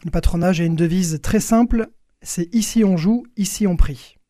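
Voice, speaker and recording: A man's voice: high-pitched, moderate pace, mellow, no room sound, clean studio sound. A young man, a priest being interviewed, explains things in hesitant phrases, repeating words as he searches for the next. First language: French. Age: young adult.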